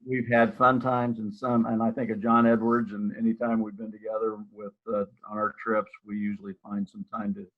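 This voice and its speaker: An older man with a low voice talks casually on a video call, rambling and restarting his sentences. The audio comes through thin and tinny, like a phone line. He sounds like a broker chatting about his plans and his office. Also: flat delivery, normal speed, hardly any pauses. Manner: rambling, with many restarts and fillers. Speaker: real estate broker and office manager. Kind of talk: casual interview over a live video call.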